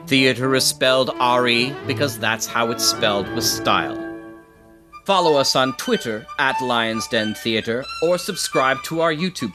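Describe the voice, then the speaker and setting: A man reading scripted credits as an announcer. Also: mid-range voice. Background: fiddle music.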